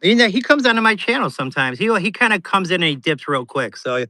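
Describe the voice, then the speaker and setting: Bright, higher-voiced man rambling. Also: big pitch swings, fast talker, dry recording. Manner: rambling, laughs often. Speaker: guest streamer. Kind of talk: casual livestream chat.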